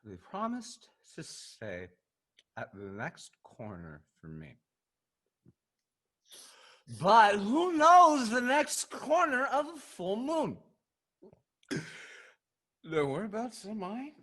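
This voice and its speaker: Drunken lecherous rake, slow and slurry. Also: male voice, high-pitched, wildly swooping pitch, a bit bright, full character voice. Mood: drunken, bawdy. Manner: drunken, rambling, wheedling. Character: drunken, lecherous rake, male, wandering at night. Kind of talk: theatrical period comedy acting.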